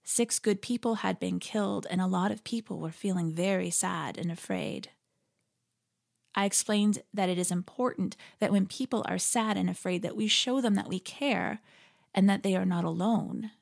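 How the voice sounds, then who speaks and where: A woman hosting a podcast, delivering a reflective scripted monologue in an earnest, measured, articulate way. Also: mid-range voice, vocal fry. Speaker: podcast host; interfaith leader.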